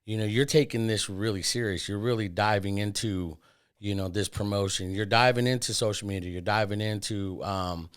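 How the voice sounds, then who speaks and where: Host, man, early forties, slightly low voice, loose and chatty, leans on "dude" and "man". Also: steady tone, moderate pace, smooth. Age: 40s. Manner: loose, filler-heavy, says "man" and "dude". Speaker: podcast host. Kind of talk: casual podcast chat.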